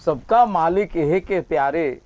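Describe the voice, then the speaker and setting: A man's voice: on the high side, expressive, takes it slowly, a little mellow, no room sound, dull audio. A man reciting patriotic verses at a poetry session, repeating each couplet and sometimes singing them in a melodic line. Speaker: poet.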